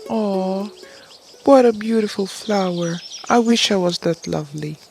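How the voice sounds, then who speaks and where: A woman playing a wise, kindly pine tree in a children's audio story, earnest and gently chiding. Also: medium pitch, a little slow, vocal fry, soft background music. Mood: admiring.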